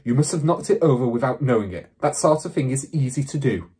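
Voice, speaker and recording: A man voicing a calm, reasonable female schoolteacher in a storybook, speaking in a measured, polite and steady way. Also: mid-range voice, husky. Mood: quietly firm. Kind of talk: storybook character reading.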